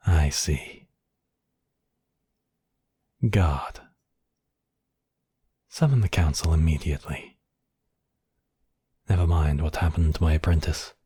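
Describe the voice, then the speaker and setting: Man acting a husky, commanding villain mage. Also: very deep voice, a little mellow, no room sound, heavily acted. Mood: cold, urgent. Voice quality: breathy, husky, often dropping to whispers. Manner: imperious, deliberate, addressing listener as apprentice. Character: commanding, manipulative male high mage villain, coldly possessive. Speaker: voice actor. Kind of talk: dramatic ASMR villain roleplay.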